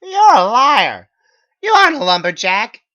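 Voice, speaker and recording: A man voicing an overwrought, weepy, accusing young man in a dramatic story, with strained loud outbursts. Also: extremely high pitch, very animated, a little slow, voice pushed up, full character voice. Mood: furious, accusing. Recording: dull lo-fi, dry.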